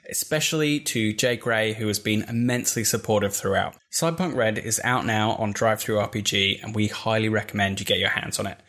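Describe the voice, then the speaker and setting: A man's voice: lowish voice, fairly bright tone. A man reading a podcast host's announcement to listeners before an episode. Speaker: host and game master introducing the episode.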